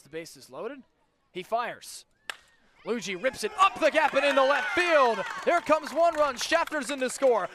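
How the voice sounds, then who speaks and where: A man doing live radio baseball play-by-play commentary as the broadcaster, with punchy, clipped calls that build toward big, drawn-out plays. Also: very high voice.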